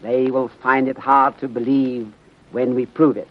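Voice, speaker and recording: A man playing a wise, courteous middle-aged Chinese detective in 1930s radio drama, speaking formally and deliberately in clipped sentences that drop their articles. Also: mid-range voice, flat delivery, close to an everyday voice. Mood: grave, resolute. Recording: dull muffled 1930s radio recording.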